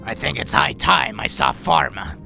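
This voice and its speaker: Man acting a very gravelly veteran robot doctor. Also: mid-range voice, very animated, normal speed, music in the background. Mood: probing, grave. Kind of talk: audio drama acting.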